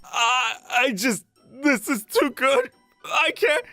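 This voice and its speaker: A woman's voice: mid-range voice, moderate pace, dry recording, natural voice. A woman voicing a flustered, lovestruck young working man who gushes and stammers in a manga dub. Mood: overjoyed. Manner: excitable, stammering, gushing. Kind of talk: manga dub voice acting with narration. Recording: music under the voice.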